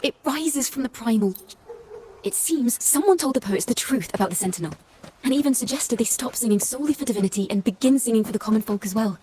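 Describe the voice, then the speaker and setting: Woman voicing a young female bard, composed, investigative, fairly high voice, scripted game dialogue, some background noise. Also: lively intonation, fast, warm tone, close to an everyday voice. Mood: thoughtful.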